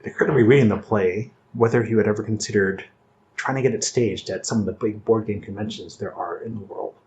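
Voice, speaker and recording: Casual, lowish-voiced man, slightly muffled. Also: fast, darkish tone. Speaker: podcast interviewer. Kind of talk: casual interview chat. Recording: slightly muffled, faint noise.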